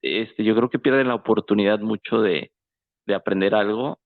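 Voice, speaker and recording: A man's voice: fairly low pitch, fairly even intonation, moderate pace. A man with a Mexican accent chatting casually, circling his points with lots of filler words. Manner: hesitant, circling delivery with frequent filler words. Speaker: participant in a political discussion. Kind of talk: casual chat. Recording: tinny phone-like voice chat.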